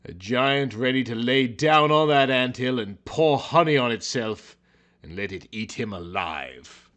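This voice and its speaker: A man narrating a story in a theatrical, brooding, overwrought style, as if every line were high drama. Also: lively intonation, a little slow, a bit bright.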